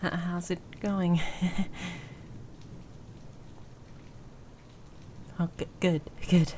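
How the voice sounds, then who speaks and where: A woman voicing a tiny timid mouse person in an improvised ASMR roleplay, soft and breathy, stammering nervously and often slipping into a whisper. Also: fairly low pitch, flat delivery, lightly acted. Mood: startled, flustered. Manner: nervous stammering, halting and repeating words. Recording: faint background noise with rain ambience. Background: rain sounds.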